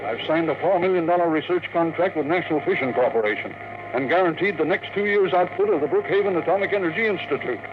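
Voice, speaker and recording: An actor playing a driven, stubborn older tycoon in a 1950s radio drama, speaking in an emphatic, commanding way. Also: male voice, fairly high pitch, fast talker, lightly acted. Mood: insistent. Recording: noisy, tinny 1950s radio recording.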